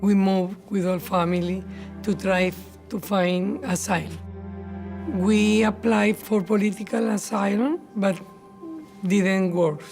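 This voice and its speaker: A Colombian woman with a Spanish accent, a mother speaking halting, simple English in a documentary interview. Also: mid-range voice, steady tone. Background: soft background music.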